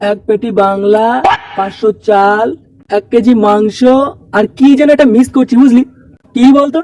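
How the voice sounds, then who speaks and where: A man's voice: high voice, moderate pace, a little mellow, recorded from a distance, dull audio, close to an everyday voice. A man voicing a bossy, foul-mouthed young gang leader who scolds his friends in a comic cartoon. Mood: planning.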